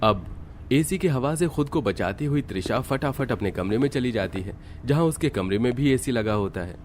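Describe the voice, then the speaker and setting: A man reading scripted narration for an animated story. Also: medium pitch, moderate pace, a little hiss or hum.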